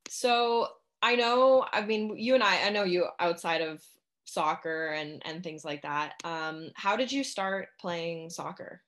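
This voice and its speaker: A woman's voice: on the low side, measured pace, a bit bright. A young woman hosting a sports podcast, interviewing a guest in a casual, chatty way full of "um" and "like". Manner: chatty, many fillers like "um" and "like". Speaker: podcast host.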